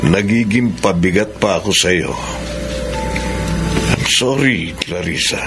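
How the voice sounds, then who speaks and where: Slow, low-voiced ailing husband. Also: male voice. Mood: sad, apologetic. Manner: slow, downcast. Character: sick, guilt-ridden husband, proud but burdened. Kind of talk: radio drama acting. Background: music.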